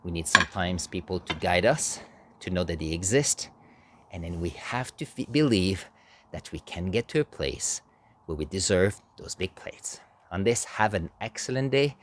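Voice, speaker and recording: A man giving a storytelling speech as an amateur speaker, halting, with dramatic pauses and emphasis. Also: deep voice, expressive. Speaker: amateur public speaker practicing a contest speech. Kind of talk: animated storytelling speech, tall tale.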